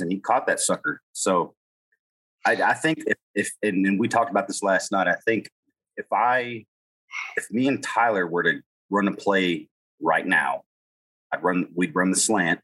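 Man, co-host, low bright voice, rambling casual storyteller. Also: moderate pace, husky, rough recording. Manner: rambling storyteller, chuckles mid-sentence. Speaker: podcast co-host. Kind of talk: casual chat.

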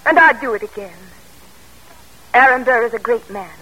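A woman's voice: on the high side, moderate pace, sharp tone, breathy edge. An actress playing a spirited, devoted young woman in an old-time American radio drama, speaking earnestly and theatrically. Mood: defiant. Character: spirited, devoted young daughter of an ambitious colonel. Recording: vintage radio broadcast, thin telephone-like tone.

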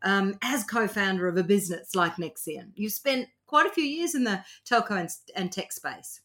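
A woman hosting a finance podcast interview, friendly and quick to laugh. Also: medium pitch, expressive, fairly bright tone. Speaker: podcast host.

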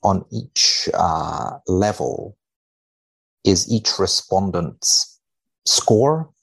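A man speaking as a researcher guest in conversation, wry and measured, giving tongue-in-cheek commentary with mock seriousness. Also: deep voice, animated, gravelly, a bit bright, husky. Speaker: podcast guest, biomedical researcher.

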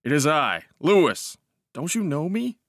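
Guy putting on a jaunty young soldier voice, pitched up high, hearty and teasing, dry close sound. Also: big pitch swings, a little slow, dry recording. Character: brash jaunty young male cavalry officer.

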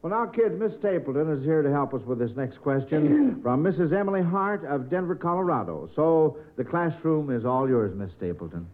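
A male quiz host in the 1950s radio manner. His voice is fairly high for a man, and the vintage recording is narrow and tinny. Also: lively intonation, normal speed, hardly any pauses.

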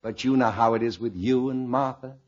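Man playing an absent-minded, husky old grandfather. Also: mid-range voice, a little fast, lightly acted. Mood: mild, vague. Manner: plain-spoken, vague, matter-of-fact. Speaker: actor in a radio comedy. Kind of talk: 1940s-50s radio comedy acting. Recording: muffled, lo-fi old radio recording.

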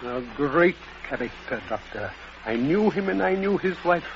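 An actor playing a gentle, concerned friend at a bedside in 1940s radio drama style. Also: male voice, fairly high pitch, animated, moderate pace. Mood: respectful. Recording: muffled vintage radio broadcast.

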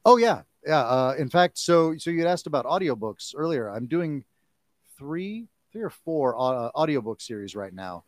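A man in his forties, a guest actor in a casual chat, talking in an animated, jokey way. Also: on the high side, no room sound. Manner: animated and jokey, quick to laugh. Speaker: guest, actor and audiobook narrator.

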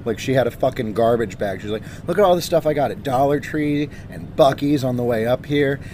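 A man co-hosting a casual podcast, animated and jokey, his voice raspy today. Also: medium pitch, fairly quick. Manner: animated, jokey, interrupts often. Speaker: podcast co-host. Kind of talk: casual joking chat. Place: outdoors on a high spot. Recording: outdoor podcast with traffic noise.

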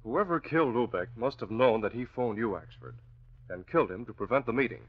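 An actor playing a composed, authoritative newspaper boss, asking crisp questions in old radio drama style. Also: male voice, mid-range voice, animated, a little fast. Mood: shrewd. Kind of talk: 1940s radio drama acting. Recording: dull, hissy old radio recording.

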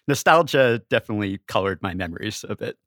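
A man's voice: lowish voice, animated, quick pace, fairly bright tone, no echo, clean studio sound. A man speaking as a podcast guest, casually analytical and wryly humorous in how he puts things.

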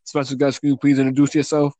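Guy interviewing on a podcast, talks real fast, casual, dry sound no room. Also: mid-range voice, level tone, dark tone, dry recording, highs cut off. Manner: informal, repeats stock phrases.

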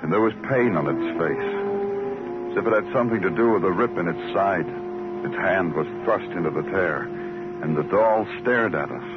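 Deep-voiced hard-boiled police detective. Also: male voice, animated, normal speed, over loud music. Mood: somber narration. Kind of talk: 1950s radio crime drama acting and narration. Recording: dull vintage radio recording.

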